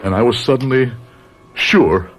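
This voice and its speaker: An actor playing a grave middle-aged doctor in a radio drama, speaking in a measured, probing, controlled manner. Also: male voice, medium pitch, soft background music, lightly acted. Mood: grave, confessional. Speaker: doctor, narrator. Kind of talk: radio drama acting with narration.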